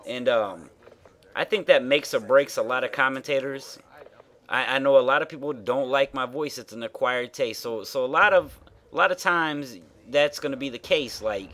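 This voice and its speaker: Man, nasal voice, casual gameplay commentary, frank and rambling, average pitch. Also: normal speed, sharp tone. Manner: rambling, frank, with fillers and swearing. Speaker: gaming YouTuber.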